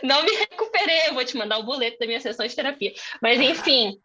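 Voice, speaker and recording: A woman's voice: sits high, animated, quick pace, bright, forceful tone, a little hiss or hum. A young woman from Brazil moderating a conversation on a video call, thinking aloud with many fillers and restarts. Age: young adult.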